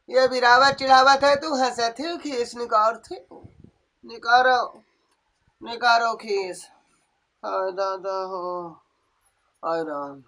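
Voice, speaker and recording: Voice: sits high, sharp tone, heavily acted. A performer doing a wailing old mother-in-law. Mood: scolding. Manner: wailing, moaning, nagging. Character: groaning old mother-in-law, cranky and complaining.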